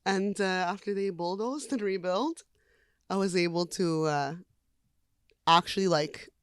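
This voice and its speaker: Chatty young woman with a smooth voice. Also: fairly low pitch, unhurried, no room sound, studio quality. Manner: chatty, frequent "like" fillers, laughs easily. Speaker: singer being interviewed. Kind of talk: casual interview chat.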